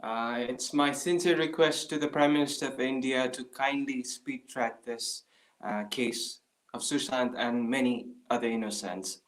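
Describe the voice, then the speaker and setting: A man's voice: mid-range voice, fairly even intonation, a little slow, rough recording. Formal man. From Nepal. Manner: formal, polite. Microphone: video call.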